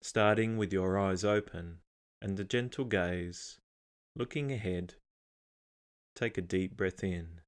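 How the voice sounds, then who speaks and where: Male meditation guide, low pitch, calm and measured delivery, unhurried phrasing with room to pause.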